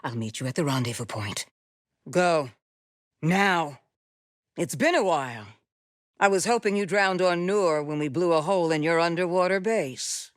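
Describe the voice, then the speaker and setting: Actress as wise, resolute female Jedi mentor for a sci-fi game: low, measured, recorded very dry. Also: no echo, close to an everyday voice. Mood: urgent, commanding, then composed. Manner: measured, deliberate, earnest delivery. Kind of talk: cinematic game dialogue acting.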